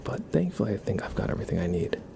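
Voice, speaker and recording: A man hosting an ASMR livestream, chatting casually in a soft, whispery murmur and gently repeating his phrases. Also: low-pitched, sing-song, very fast, gravelly, noisy background.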